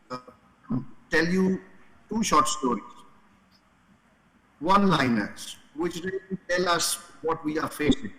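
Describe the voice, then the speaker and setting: A man on a conference panel, talking haltingly with many fillers and restarts, from Pakistan. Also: fairly high pitch, bright, forceful tone. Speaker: panel speaker. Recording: reverberant conference hall.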